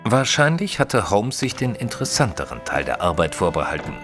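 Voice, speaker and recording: An actor playing an earnest detective's companion, narrating an audio drama in a measured, literary way. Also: male voice, lowish voice, big pitch swings, music in the background. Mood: measured, curious.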